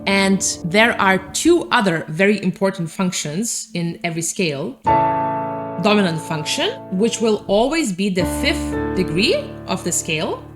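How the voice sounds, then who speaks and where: A woman from Lithuania, a native Lithuanian speaker, teaching a piano lesson and calmly explaining scales while she plays. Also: medium pitch, measured pace. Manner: calm, methodical explaining while playing. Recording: piano music under the voice. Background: piano playing.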